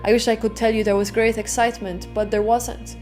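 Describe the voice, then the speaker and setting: A woman's voice: fairly high pitch, little pitch movement, fairly quick, darkish tone. A woman reading scripted narration in a conversational way, with rhetorical touches, as for a video essay. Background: background music.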